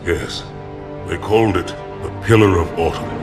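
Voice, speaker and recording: An actor playing a proud, disgraced alien warrior commander, speaking in a stern, measured way. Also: male voice, sits low, close to an everyday voice. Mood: grave. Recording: echoey game cutscene with loud music.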